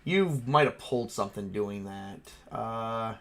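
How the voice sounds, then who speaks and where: A man's voice: lowish voice, unhurried, a bit bright. Casual man running a tabletop game. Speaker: game master.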